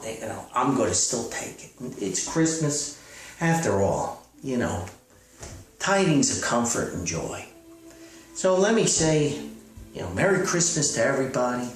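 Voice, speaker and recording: A man playing a chipper, bumbling, delusional self-styled famous author in a comedy skit, stammering and rambling in a folksy, optimistic way. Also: mid-range voice. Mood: hopeful, consoling himself. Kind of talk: improvised-sounding monologue comedy skit. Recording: echoey room, far from the mic.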